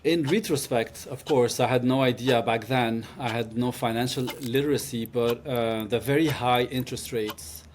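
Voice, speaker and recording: A man from Lebanon giving casual interview answers, pausing often as he finds his words. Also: medium pitch, slight background noise. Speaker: interview guest.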